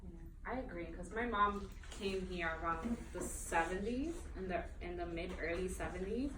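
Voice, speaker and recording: A woman from New York telling a story in an animated way during a casual book club discussion. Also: on the low side, moderate pace. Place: bookshop event room. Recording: echoey room, distant mic, background noise.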